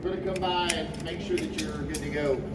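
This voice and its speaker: A man's voice: on the high side, steady tone, brisk. A man teaching a firearms class at an indoor range, upbeat and playful as he calls out commands. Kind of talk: loud instructions to a class. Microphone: amplified voice. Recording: echoey, distant, noisy range.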